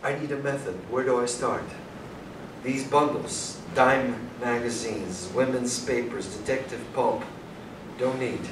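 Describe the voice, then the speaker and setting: A man's voice: medium pitch, lightly acted. A man playing an earnest, self-doubting young detective in a staged play reading. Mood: muttering, frustrated. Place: small indoor performance room with audience. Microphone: far camera. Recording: roomy, distant mic, faint noise.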